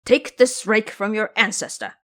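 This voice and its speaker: Woman as a blustering male boar monk, very animated. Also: mid-range voice, normal speed. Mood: indignant. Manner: blunt, self-important, indignant. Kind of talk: audio drama acting.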